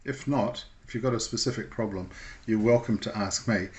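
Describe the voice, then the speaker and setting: A man giving an informal instructional talk in a measured, explanatory way with hesitant pauses. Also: fairly low pitch, a little mellow.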